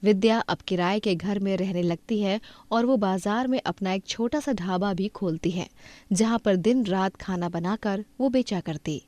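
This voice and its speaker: A woman's voice: mid-range voice, unhurried, a little mellow, dry recording. A woman reading scripted narration for a cartoon moral story.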